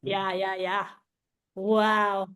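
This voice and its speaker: A woman hosting an informal interview, chatting in an animated, giggly way. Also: mid-range voice, drawn-out words, smooth. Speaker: interview host. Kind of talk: casual chat.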